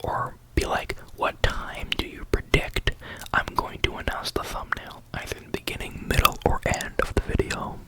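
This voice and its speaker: A tired young adult YouTuber telling a story in a soft unvoiced whisper, rambling with many likes and ums. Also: moderate pace, few pauses, rough recording.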